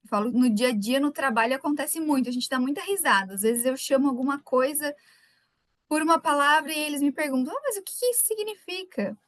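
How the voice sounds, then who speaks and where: A woman's voice: high-pitched, lively intonation, a little fast, dry recording. Chatty woman with a light Gaúcho accent. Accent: light Gaúcho Brazilian Portuguese. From Rio Grande do Sul, Brazil. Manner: chatty, warm greetings to viewers by name. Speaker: webinar speaker. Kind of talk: live webinar talk.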